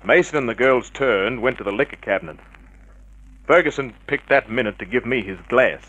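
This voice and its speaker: A man playing a wry, tough private eye, deadpan and wisecracking, in a hard-boiled radio drama narration. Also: medium pitch, normal speed. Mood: dry, tense. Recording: dull vintage radio drama recording.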